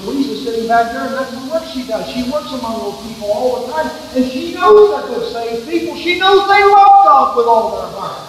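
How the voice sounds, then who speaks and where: A man preaching a sermon to a congregation, reading scripture quietly, then building to loud, fervent preaching. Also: very high-pitched, lively intonation, moderate pace, hardly any pauses. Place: church sanctuary. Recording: echoey hall from far off, faint room noise.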